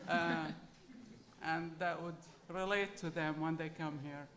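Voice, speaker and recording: A woman's voice: deep voice, steady tone, slow, a bit bright, a little hiss or hum. A middle-aged woman with an Arabic accent telling personal stories to an audience as a panel speaker. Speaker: panel speaker telling her immigrant story.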